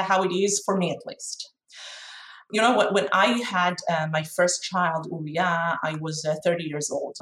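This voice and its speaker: A woman's voice: mid-range voice, fairly bright tone. Israeli woman, frank, in an echoey room. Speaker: chief executive being interviewed. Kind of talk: interview answers. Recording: roomy, echoey sound.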